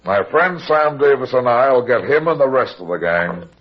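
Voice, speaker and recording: An actor playing a tough, self-assured detective with cool, clipped talk in an old-time radio crime drama. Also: male voice, mid-range voice, expressive, moderate pace, natural voice. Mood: cool, determined. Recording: muffled vintage radio recording.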